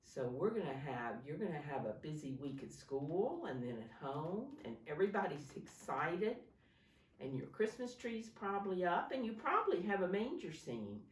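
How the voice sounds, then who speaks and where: A woman with a low voice reads a story to small children as their teacher. Her pitch swings expressively and she takes her time, explaining simply and putting questions to the listeners. The sound is roomy and set back from the microphone. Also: very animated, moderate pace. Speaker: preschool teacher reading a picture book aloud. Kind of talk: storytime read-aloud for young children. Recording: roomy, echoey sound, distant from mic.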